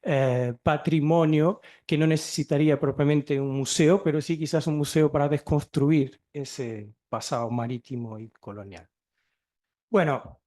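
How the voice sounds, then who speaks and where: A Portuguese man, a native speaker of Portuguese, lectures at a seminar in Spanish with a Chilean-flavored accent and a slightly raised pitch. He speaks haltingly, with frequent fillers and self-corrections, through a microphone in a lecture hall. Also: darkish tone. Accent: Chilean-tinged Spanish. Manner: hesitant, many fillers and restarts. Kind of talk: academic lecture.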